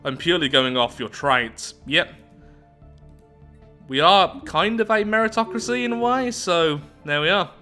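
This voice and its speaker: A man's voice: sits high, expressive, unhurried, sharp tone, faint music behind. A man giving casual commentary on a strategy game playthrough, thinking aloud as he goes. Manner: thinking aloud, musing to himself.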